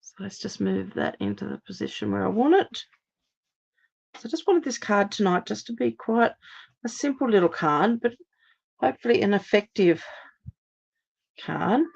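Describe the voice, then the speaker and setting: A woman from Australia, a little hoarse after laryngitis, giving a chatty step-by-step live card-making demonstration at her craft table. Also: mid-range voice, normal speed, pauses often, highs cut off. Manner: chatty, steady step-by-step instructions.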